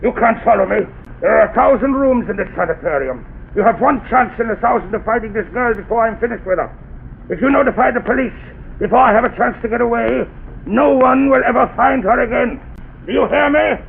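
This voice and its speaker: A man's voice: very high voice, a little fast, creaky. An actor playing a boastful, murderous male doctor in a 1930s radio drama, menacing and gloating. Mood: threatening. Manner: menacing, gloating, softly sinister when calm. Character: cold male mad doctor killer, boastful. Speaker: villain. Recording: tinny old 1930s radio recording with hiss.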